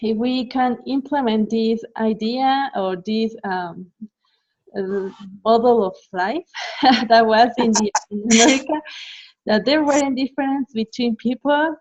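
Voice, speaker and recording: A woman's voice: fairly high pitch, a little slow, a little mellow, slight background noise. Hesitant woman from Mexico, dull audio. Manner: hesitant, many uh fillers, restarts. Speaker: student in group discussion. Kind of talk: casual discussion.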